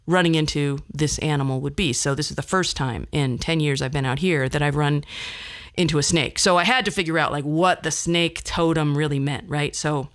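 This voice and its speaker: Chatty woman with a lowish voice, telling stories. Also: normal speed. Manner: chatty, rambling, wry asides. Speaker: podcast host. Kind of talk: casual solo storytelling.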